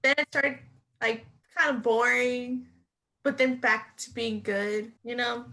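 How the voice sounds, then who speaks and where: A woman's voice: fairly high pitch, fairly even intonation, slow, vocal fry, sharp tone, poor audio. A woman hosting a casual podcast, upbeat and asking her guests questions. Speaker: podcast host.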